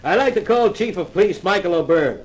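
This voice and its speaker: An actor playing a cocky, sardonic newspaper columnist in a 1950s radio detective drama, with a wry, clipped delivery. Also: male voice, high-pitched, lively intonation, brisk, slight background noise, rough recording. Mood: coolly polite. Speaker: actor playing the lead and narrator.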